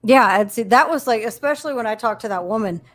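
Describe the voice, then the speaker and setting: A woman's voice: fairly high pitch, fast talker, a bit bright. Chatty woman telling stories. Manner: chatty storyteller, acts out quotes. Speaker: co-host. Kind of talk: casual chat.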